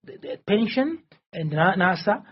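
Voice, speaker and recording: A man's voice: high-pitched, sing-song, slow, highs cut off, slight background noise. A man in his forties, originally from Tanzania, answering interview questions as a presidential candidate in a rambling, insistent way and often repeating himself. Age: 40s.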